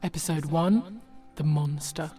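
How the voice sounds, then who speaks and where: Female storybook narrator, low-pitched and measured, over prominent music. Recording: loud music behind.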